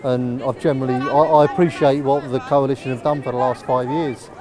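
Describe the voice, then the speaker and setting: A man's voice: medium pitch, little pitch movement, brisk, crowd noise. A man giving hesitant, considered answers to a street interviewer. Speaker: passer-by.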